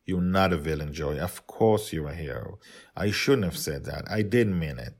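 An earnest, parental figure, voiced by a male storyteller with a low voice. He is a stern but loving dad in a fantasy storybook, talking to his little girl. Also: animated, moderate pace, darkish tone, heavily acted. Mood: tender, apologetic. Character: dream-world father, stern but loving, scolding his daughter.

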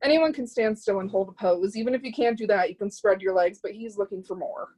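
A woman's voice: medium pitch, fairly quick, mellow, natural voice. A woman playing a proud, zealous would-be artist's muse in a play reading, speaking with lofty, scornful emphasis. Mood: haughty.

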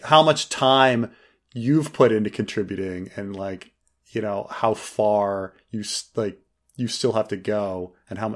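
A man's voice: mid-range voice, fairly bright tone. A man co-hosting a podcast, chatting casually and rambling with many fillers and restarts. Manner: laughs while joking. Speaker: podcast co-host. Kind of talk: casual review chat.

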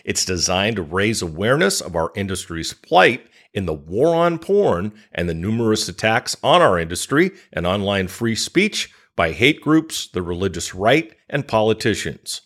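A man's voice: on the low side, very animated, moderate pace, few pauses, fairly bright tone. A man hosting a podcast, reading scripted promos and introductions and putting questions to a guest. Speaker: podcast host.

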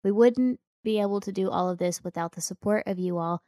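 A woman's voice: mid-range voice, fairly quick, mellow, dry recording, clean studio sound. A young woman co-hosting a board game podcast, chatting in a teasing, playful way. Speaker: podcast co-host. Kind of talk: casual podcast chat.

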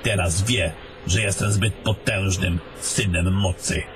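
A fantasy adventurer, acted by a man, with a fairly low voice and slow delivery. He is a gruff traveller in an audio drama, with clearly audible noisy ambience around him. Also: steady tone. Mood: uneasy.

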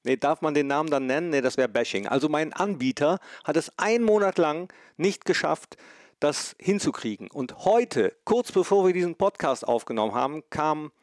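A man's voice: fairly high pitch, lively intonation, normal speed, no echo, studio quality. A man hosting a podcast from home over a phone link, chatty and self-deprecating, stuffy with a cold. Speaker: podcast host. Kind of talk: casual remote chat.

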